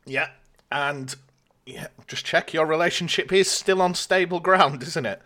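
A man's voice: fairly high pitch, unhurried, pushed and bright. A man co-hosting a podcast, chatting casually in a candid, self-deprecating way. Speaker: podcast co-host. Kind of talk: casual chat.

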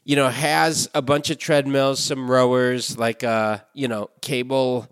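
Man, podcast co-host, smooth resonant voice, dry sound, rambling casual talk. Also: mid-range voice, little pitch movement, a little slow, no echo. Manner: rambling with many fillers. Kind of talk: casual chat. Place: hotel room abroad. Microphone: remote call from a hotel room.

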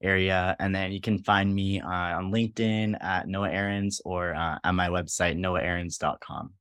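Deep, smooth-voiced young man. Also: moderate pace, no echo, clean studio sound. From California. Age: young adult. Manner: relaxed, with fillers. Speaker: design student guest.

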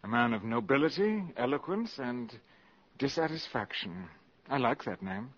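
An actor playing a suave, cynical valet turned spy in a radio drama, with a polished, mocking manner. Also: male voice, mid-range voice, very animated, moderate pace, a bit bright. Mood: mocking, assured. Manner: polished, mocking, cool and superior. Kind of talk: 1950s radio drama acting. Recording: muffled old radio broadcast recording.

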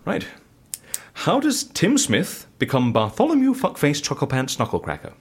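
Eccentric, theatrical male interviewer, higher-pitched and hugely animated, playful and curious, for audio comedy drama. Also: normal speed, slightly rough, exaggerated voice. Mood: puzzled, intrigued.

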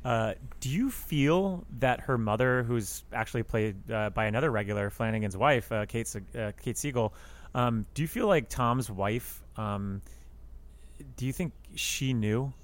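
A man with a middle-pitched voice in a casual podcast conversation about film. He speaks tentatively, pausing often as he feels his way through a thought. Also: animated. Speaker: podcast panelist.

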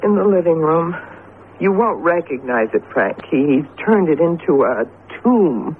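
An actress playing a chatty, excitable wife in a radio drama, affectionate and anxious, with an exclamatory, expressive delivery. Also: female voice, deep voice, measured pace. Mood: worried. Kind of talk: 1970s radio drama acting. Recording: muffled, noisy vintage radio broadcast.

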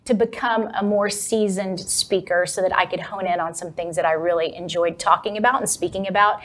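A woman from Texas, a confidence coach, speaking as an upbeat, animated interview guest. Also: fairly low pitch, brisk. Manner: upbeat, animated, laughs easily. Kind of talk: interview conversation.

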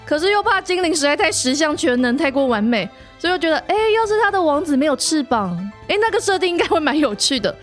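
A woman with a Taiwanese Mandarin accent talks in a high-pitched voice. She chats casually as a host and author, explaining things playfully, with soft music underneath. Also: sing-song, quick pace, hardly any pauses. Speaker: podcast host and story author. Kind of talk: casual chat.

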